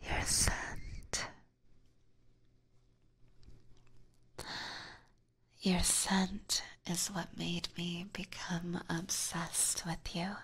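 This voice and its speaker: A woman's voice: lowish voice, little pitch movement, fairly bright tone, exaggerated voice. Whispering, obsessive vampire catgirl played by a woman. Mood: infatuated, hungry. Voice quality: breathy. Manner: slow, intimate, teasing whisper. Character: obsessive, seductive female vampire catgirl, sweetly menacing. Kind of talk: whispered ASMR roleplay. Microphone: close binaural microphone.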